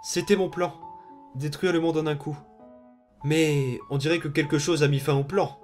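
A man's voice: fairly high pitch, steady tone, a little mellow, natural voice. Man acting a sinister, commanding undead boy. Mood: taunting, threatening. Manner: sinister, deliberate, condescending. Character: menacing, power-hungry undead boy, coldly commanding. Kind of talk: amateur comic dub acting. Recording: music behind.

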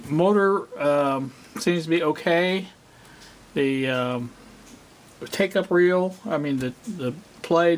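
A middle-aged man giving a casual, unscripted demonstration, halting and rambling as he thinks aloud. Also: a little high. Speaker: hobbyist presenter. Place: indoor home workspace.

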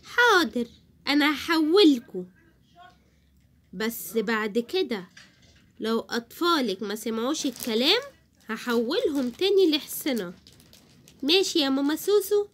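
A stern unicorn witch speaks in a low, slow, menacing Egyptian Arabic drawl, put on by a woman. The voice sits fairly high for all its threat. It suits a homemade toy storybook. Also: animated, fairly bright tone. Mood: threatening.

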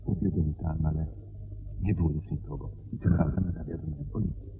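An actor playing a worried, formal country gentleman in a radio play, telling his account gravely and deliberately. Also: very deep voice, fairly even intonation. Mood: grave, troubled. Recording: dull radio drama recording with background noise.

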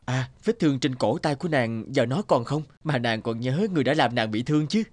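A man voicing a devoted, courteous young prince in an animated fairy tale, speaking in a tender, courtly way. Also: mid-range voice, brisk, dry recording, lightly acted. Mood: tender, admiring. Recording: music behind the voice.

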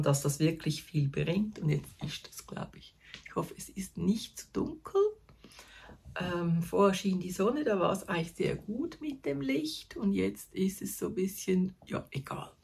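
A Swiss German woman in her fifties talks casually to the camera from her craft corner at home. Her voice sits a little low, with a husky, gravelly edge. She rambles cheerfully and pokes fun at her own slips. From Switzerland. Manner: chatty, self-deprecating, restarts and fillers, laughs at herself. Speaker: knitting vlogger.

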